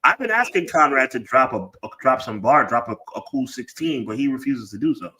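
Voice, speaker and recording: A man's voice: medium pitch, animated, fairly quick, pushed and bright, low-quality recording. A man co-hosting a live wrestling podcast, chatting in slangy, rambling banter. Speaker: podcast co-host. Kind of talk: casual live-stream chat.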